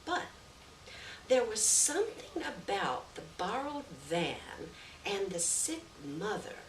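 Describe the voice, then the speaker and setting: A woman reading a literary short story aloud at a live event, with measured, deliberate phrasing and stressed words. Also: mid-range voice, big pitch swings. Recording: distant roomy live recording, faint noise.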